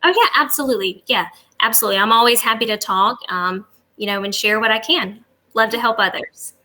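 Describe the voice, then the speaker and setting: A woman's voice: fairly high pitch, brisk, bright, forceful tone. A woman speaking as a travel agent guest in a conversational interview, agreeable, affirming and eager to help.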